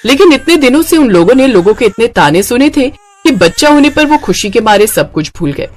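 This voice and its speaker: A woman's voice: fairly high pitch, sing-song, fairly quick. A woman storyteller reading scripted narration for a moral tale.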